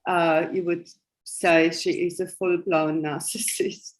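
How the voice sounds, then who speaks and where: A woman's voice: fairly low pitch, flat delivery, takes it slowly. A German-accented woman in her sixties, a guest telling her life story in casual conversation and often laughing along the way. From West Germany. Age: 60s. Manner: chatty and self-amused, laughing often between thoughts.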